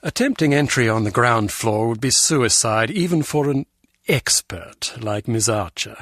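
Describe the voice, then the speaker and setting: An intelligence officer in a spy game. He speaks at a middling pitch with a husky edge, precise and dryly disdainful. The sound is close, without room echo. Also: animated, a little slow, a bit bright. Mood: dry, skeptical. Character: dry, skeptical male intelligence officer. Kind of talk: video game voice acting.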